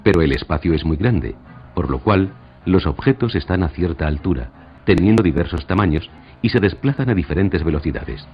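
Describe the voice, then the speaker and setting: A man with a deep voice talks as a radio interviewer, laying out an explanation. The sound is somewhat dull, like a played-back clip. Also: animated, normal speed, faint music behind.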